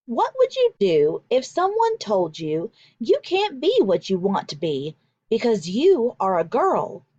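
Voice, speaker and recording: Expressive woman reading aloud, slow-paced. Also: fairly high pitch, slightly rough, dry recording, dull audio. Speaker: teacher reading aloud to children. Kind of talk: expressive children's read-aloud with vocabulary explanations.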